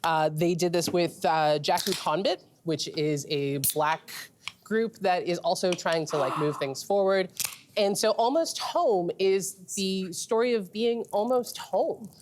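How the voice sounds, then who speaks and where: A woman's voice: on the low side, unhurried, noisy background. A woman from Trinidad with a Trinidadian accent, talking casually as a guest about the beers being tasted. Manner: explanatory, relaxed, with fillers. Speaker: beer expert guest. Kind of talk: casual tasting chat.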